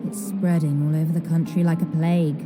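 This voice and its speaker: Disapproving society lady, acted by a woman, even lower-pitched delivery, period video game dialogue. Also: fairly quick, close to an everyday voice. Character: disapproving, gossiping Victorian society woman guest. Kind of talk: Victorian video game voice acting. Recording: game audio with music.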